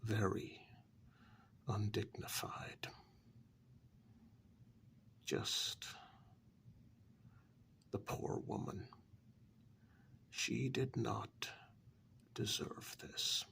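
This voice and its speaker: A man playing a formal, compassionate asylum staffer in a soft-spoken ASMR story, speaking in a breathy, creaky half-whisper with measured, deliberate pauses. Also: lowish voice, steady tone, full character voice. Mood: pitying.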